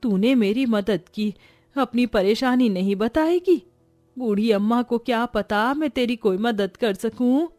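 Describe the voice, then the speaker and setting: A woman's voice: a little high, a little slow, darkish tone, dry recording, faint music behind, lightly acted. Woman playing a kindly concerned old village woman. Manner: sympathetic, coaxing. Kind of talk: animated cartoon story acting.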